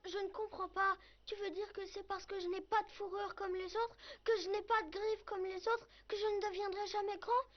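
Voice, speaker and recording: A woman's voice: extremely high pitch, flat delivery, moderate pace, few pauses. A woman voicing a stubborn, earnest little jungle boy in a dubbed cartoon. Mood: hurt, determined. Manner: pleading, insistent, earnest. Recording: muffled cartoon soundtrack with music.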